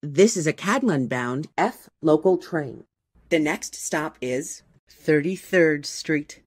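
A woman reading scripted train announcements in a measured, formal, segmented way. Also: low-pitched, expressive, husky. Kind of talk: scripted transit announcement, spliced clips.

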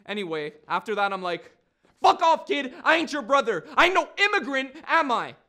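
Man acting a brash streetwise young guy, highly animated. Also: a little slow, exaggerated voice. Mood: defiant. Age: 20s. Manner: slangy, swearing, insistent, with repeated phrases. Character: streetwise, brash, wounded young man telling his life story. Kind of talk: theatrical audition monologue.

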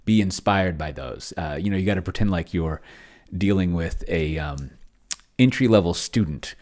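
A man's voice: sits low, normal speed, darkish tone. A middle-aged man giving a casual, unscripted walkthrough as a host, rambling and often interrupting himself in an offhand way. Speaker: host of a tabletop roleplaying tech channel. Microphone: desk microphone close to the mouth.

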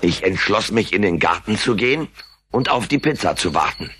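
An actor voicing a droll, hungry, wisecracking furry alien in an audio drama. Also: male voice, fairly low pitch, expressive, normal speed, a bit bright, husky. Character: wisecracking, ever-hungry furry alien telling his story. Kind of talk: German audio drama dialogue and first-person narration. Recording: faint hiss on old cassette.

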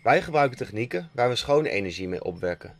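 A young Dutch man, a sailing skipper, reading a calm, matter-of-fact scripted voice-over. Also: mid-range voice, quick pace. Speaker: skipper narrating a sailing documentary. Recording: faint music under the voice.

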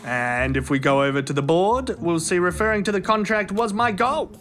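A man voicing a cheeky, sarcastic floating robot sidekick, with a crude, teasing, deadpan way of talking. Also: high voice, normal speed, noisy background, lightly acted. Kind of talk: comedy web series acting.

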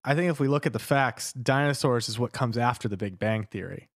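Male podcast panelist, mid-pitched and dry-sounding, casual, tentative speculation. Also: fairly quick, dry recording. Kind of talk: casual chat, joking speculation.

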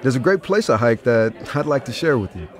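A man acting as an easygoing scientist out courting in an audio drama. He is teasing yet earnest, with a mid-pitched voice and very lively melody. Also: a little fast, natural voice. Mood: warmly flirty. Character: easygoing, flirtatious male scientist dating a woman.

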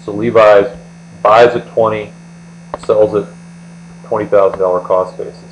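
Low-voiced American man tutoring, humming background. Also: unhurried, rough recording. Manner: methodical, step-by-step explaining. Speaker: accounting tutor. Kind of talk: explanatory screencast lecture. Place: indoors, voice-over on screen recording. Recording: steady mains hum.

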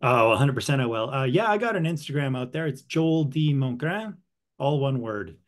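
A man in his thirties, an actor from northern Canada, chatting as an interview guest over a video call from a room at home, lively, repeating words and laughing easily. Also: medium pitch, normal speed. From northern Saskatchewan, Canada. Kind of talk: casual interview chat. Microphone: headset with desk mic, video call.

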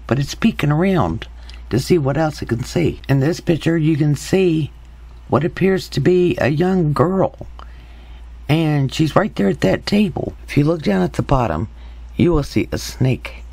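Slow, husky man describing photos. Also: a little high, animated, darkish tone, dry recording. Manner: slow, halting, hesitant with many pauses. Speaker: paranormal investigator. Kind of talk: narrating over still photos.

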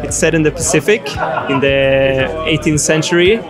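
A man, a VR game developer, answering interview questions in a hesitant, halting way with many fillers. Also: on the high side, crowd noise. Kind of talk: casual interview.

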